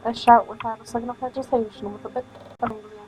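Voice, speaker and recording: A woman streaming a visual novel, reading the text aloud with halting delivery and casual commentary. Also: on the high side, level tone, darkish tone, slight background noise, low-quality recording. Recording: music playing behind the voice. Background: music.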